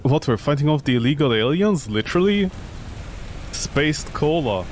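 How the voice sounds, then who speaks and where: Guy streaming a game, sarcastic, voice kinda high and really swingy, some noise behind. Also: animated, moderate pace, darkish tone. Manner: sarcastic, exclamatory, talking to himself. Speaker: gaming streamer. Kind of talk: live game commentary with sarcastic reactions.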